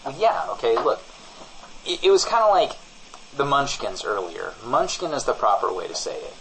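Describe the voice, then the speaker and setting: A man's voice: mid-range voice, big pitch swings, moderate pace, a bit bright, a little hiss or hum, low-quality recording. A man co-hosting a comedy podcast, improvising mock-earnest banter. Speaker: podcast co-host.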